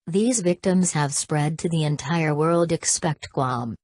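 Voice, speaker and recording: A woman's voice: deep voice, unhurried, a little mellow, no echo. A woman voicing a formal emergency alert announcer in a scripted broadcast, with a stilted, synthetic-sounding delivery. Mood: formal, grave.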